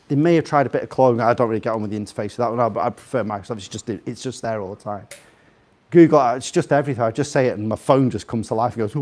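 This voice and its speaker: A man's voice: medium pitch, a little mellow. A man with a slight Liverpool accent giving an informal, jokey, rambling live talk, putting questions straight to his audience. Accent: slightly Scouse (Liverpool). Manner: chatty, jokey, rambling, puts questions to listeners. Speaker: guest speaker. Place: live in a room in front of an audience.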